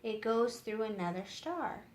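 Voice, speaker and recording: A woman's voice: on the high side, animated, a little slow, darkish tone. A woman voicing a polite, brainy young man who patiently explains things in a storybook. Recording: roomy echo with faint noise.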